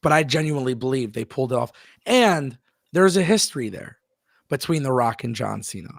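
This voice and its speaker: A man hosts a live wrestling talk show with a gravelly edge to his voice. He talks emphatically and stops now and then to read out viewer messages. The sound is dry and close to the mic. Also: mid-range voice, animated, brisk, no echo. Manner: emphatic, repeats words, reads viewer messages aloud. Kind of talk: casual live-stream chat.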